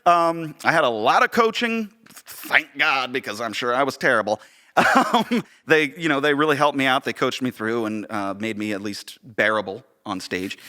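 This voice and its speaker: An American man chatting casually and telling stories in an animated, self-deprecating way, often acting out bits of dialogue. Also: medium pitch, moderate pace. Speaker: podcast guest and theater director. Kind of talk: casual conversational storytelling.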